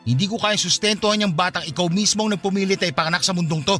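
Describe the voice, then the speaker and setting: A man's voice: high voice, very fast, music in the background, close to an everyday voice. A man playing a cold, defensive married lover in a radio drama, arguing and shifting blame. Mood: defensive, blaming. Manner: argumentative, blaming. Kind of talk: Filipino radio drama acting.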